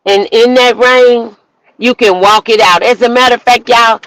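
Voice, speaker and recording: A woman minister hosting a livestream, preaching outdoors in the rain with repetition and rising shouts. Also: fairly high pitch, steady tone, moderate pace, no echo, rough recording. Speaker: minister and show host. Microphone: phone livestream.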